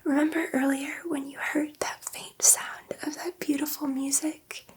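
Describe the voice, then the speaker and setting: A woman voicing a gentle, excitable alien scientist in an ASMR roleplay, speaking in a soft, breathy whisper with a halting, reassuring manner. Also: high-pitched. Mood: soothing, explanatory. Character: gentle, excitable female alien scientist soothing a human subject.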